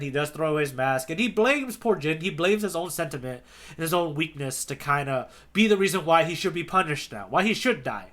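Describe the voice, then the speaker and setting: A man hosting a podcast, casually retelling a comic chapter, animated with many fillers and restarts. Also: on the high side. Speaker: podcast host, tour guide.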